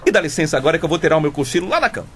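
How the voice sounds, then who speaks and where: Stubborn Brazilian bricklayer, swooping pitch. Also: male voice, sing-song, very fast, background noise. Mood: stubborn, self-justifying. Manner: obstinate, repeats himself. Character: stubborn, cowardly, dim bricklayer husband. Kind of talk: comic radio soap acting.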